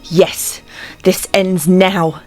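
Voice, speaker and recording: A woman's voice: lowish voice, flat delivery, loud music behind the voice. A woman playing a proud, resolute young warrior queen in a fantasy fandub, speaking firmly and solemnly. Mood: defiant. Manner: firm, solemn, deliberate. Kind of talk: fantasy audio drama fandub acting.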